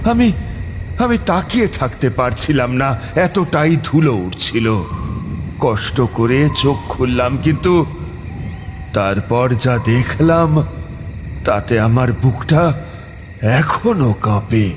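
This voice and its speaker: Guy doing a grave tantric priest telling a ghost story, really slow, big reverb. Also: medium pitch, slight background noise. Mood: shaken, awed. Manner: slow, suspenseful, deliberate pauses. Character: grave male tantric priest recounting his own ghost encounter. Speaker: narrator and lead character. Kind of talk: dramatic scripted horror narration. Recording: long reverb, music bed behind. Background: clear background music.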